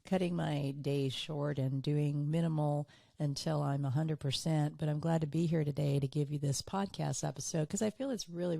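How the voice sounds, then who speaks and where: A woman hosting her own business podcast, talking earnestly on her own, a little hoarse and tired from illness. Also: deep voice, dark tone, dry recording, clean studio sound. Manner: earnest, repeats for emphasis. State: hoarse, recovering from illness, fatigued. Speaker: podcast host. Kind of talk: solo business podcast monologue.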